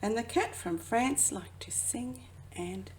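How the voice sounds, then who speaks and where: A woman who works as a children's educator reads a picture book aloud expressively, drawing out phrases and dropping into hushed asides. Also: on the high side, animated, takes it slowly, slight background noise. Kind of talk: children's storybook read-aloud.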